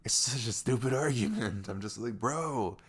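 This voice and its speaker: A young man playing a sweet, caring boyfriend in an intimate ASMR roleplay, rambling casually in slangy, animated speech. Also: mid-range voice, moderate pace, husky, lightly acted. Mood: animated, exasperated. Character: sweet, caring, chatty boyfriend on a morning after. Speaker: audio roleplay voice actor.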